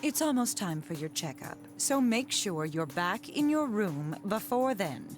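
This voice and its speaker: An actress playing an exasperated, brisk hospital nurse with a firm, scolding manner in a video game. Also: female voice, medium pitch, sing-song, faint music behind.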